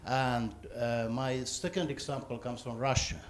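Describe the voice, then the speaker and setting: A mid-pitched man speaks up from the audience. He lays out his point in a deliberate, measured way. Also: steady tone, slight background noise. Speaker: audience member asking a question. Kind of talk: question from the floor.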